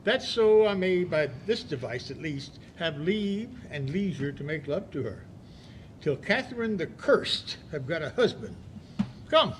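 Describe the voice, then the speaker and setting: A man playing a courteous, lovesick gentleman friend, declaiming expressively in a Shakespearean audio play. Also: on the high side, sing-song, a little slow, slight background noise. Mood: scheming.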